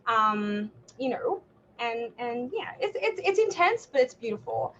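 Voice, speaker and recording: A woman's voice: a little high, sing-song, measured pace, a bit bright, dull audio, a little hiss or hum. An Australian woman chatting casually and effusively as a guest on an online show. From Melbourne, Australia. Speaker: podcast guest, cosplay artist. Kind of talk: casual chat. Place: remote online call.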